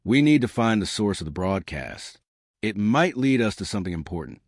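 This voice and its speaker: A man voicing a curious, tech-savvy teenage boy in a horror storybook reading, speaking earnestly and with excitement. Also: on the low side, moderate pace, no room sound, clean studio sound.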